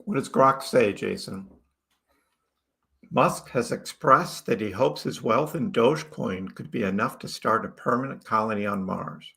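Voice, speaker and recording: A man's voice: medium pitch, measured pace, creaky, fairly bright tone. A man from Chicago hosting a crypto livestream, chatting casually and greeting viewers by name. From Chicago, United States. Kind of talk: casual live chat reading viewer comments.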